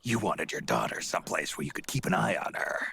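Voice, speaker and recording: An actor playing a gruff, world-weary old soldier in a video game, with a very raspy growl and a terse, blunt, suspicious manner. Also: male voice, very deep voice, very animated, a little fast, sharp tone, a little hiss or hum, heavily acted. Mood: accusing. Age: older. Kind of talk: dramatic video game voice acting.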